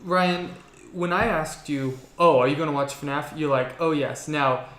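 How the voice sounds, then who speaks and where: A man with a slightly high voice hosts a casual gaming podcast. He talks in a halting, rephrasing way, building his point as he goes. The room adds a clear echo around his words. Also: fairly even intonation, a bit bright. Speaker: podcast host. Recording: roomy, echoey podcast room.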